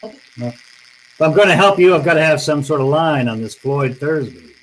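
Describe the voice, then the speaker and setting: A man's voice: on the high side, animated, normal speed, slight background noise, lightly acted, low-quality recording. An American man playing a tough, wry private detective in old-time radio noir style, with slangy, world-weary delivery. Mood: brisk, probing. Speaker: actor narrating and playing the lead.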